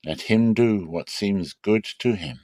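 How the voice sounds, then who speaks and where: Guy doing an old fatherly priest in a bible story, measured and grave, close dry sound. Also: lowish voice, warm tone, dry recording, close to an everyday voice. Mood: grave, resigned. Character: gentle, grave old male priest, fatherly, dim-eyed.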